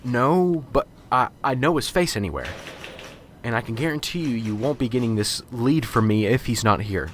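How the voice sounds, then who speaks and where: A man's voice: mid-range voice, normal speed, a little hiss or hum, close to an everyday voice. A man voicing a nervous, unsure male professor in an amateur radio drama, stammering and hesitant. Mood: jittery. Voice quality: stammering, hesitant, fumbling for words. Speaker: professor bringing a lead.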